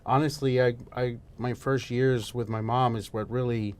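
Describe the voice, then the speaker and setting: A man's voice: medium pitch, fairly even intonation, moderate pace, clear, resonant tone. A middle-aged man from El Salvador, a guest chef talking casually in an interview, chatty and prone to restarting his sentences. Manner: chatty, frequent restarts and fillers.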